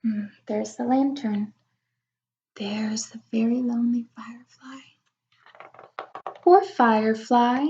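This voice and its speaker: Female storyteller for young kids, high voice, expressive and wondering, chatty asides, slightly muffled lo-fi sound. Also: takes it slowly, pauses often. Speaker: read-aloud storyteller. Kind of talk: children's picture book read-aloud with chatty asides. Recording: dull lo-fi recording.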